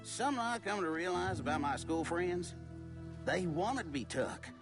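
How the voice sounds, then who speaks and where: Folksy Southern storyteller, highish voice. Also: male voice, expressive, normal speed. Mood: haunted, folksy. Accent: American South. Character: folksy rural Southern male storyteller, plainspoken and rueful. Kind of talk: video game character acting. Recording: loud music behind the voice. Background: music.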